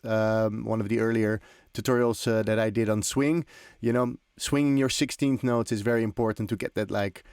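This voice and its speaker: A man's voice: medium pitch, a little mellow, dry recording. Man giving a dry-sounding, informal tutorial. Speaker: music production trainer hosting a tutorial. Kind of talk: informal software tutorial, explaining as he demonstrates. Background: electronic drum and synth loops.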